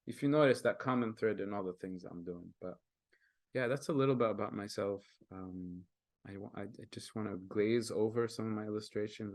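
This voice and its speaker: A young man with a somewhat low voice, a native Arabic speaker from Kenya, walks through his creative work in an earnest, casual manner. He stops and restarts often and fills gaps with ums. The sound is dry and close, as on a video call. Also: dry recording. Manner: earnest, with frequent fillers and restarts. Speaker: guest artist presenting his work. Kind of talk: casual presentation.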